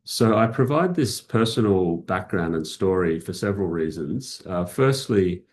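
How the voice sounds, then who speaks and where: Deep-voiced Australian man giving keynote. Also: expressive. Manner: measured, frequent "uh" fillers. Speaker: keynote speaker, academic. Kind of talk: formal conference keynote.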